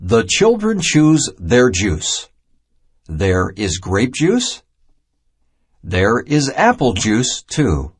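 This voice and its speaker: A man reading a children's math storybook aloud in a deliberate, simple teaching manner. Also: mid-range voice, wildly swooping pitch, breathy edge. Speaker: narrator of a children's math reader.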